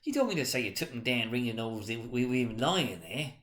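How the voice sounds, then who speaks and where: A man's voice: medium pitch, big pitch swings, brisk, a bit bright. Man acting a sly old rag dealer. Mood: amused, sly. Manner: wheedling, haggling. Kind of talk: dramatic storybook reading.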